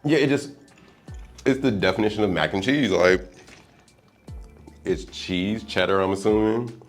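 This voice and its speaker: A man's voice: lowish voice, measured pace, faint music behind. A man from Chicago Heights, Illinois, a private chef, talking playfully and animatedly to the camera. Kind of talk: casual talk to camera.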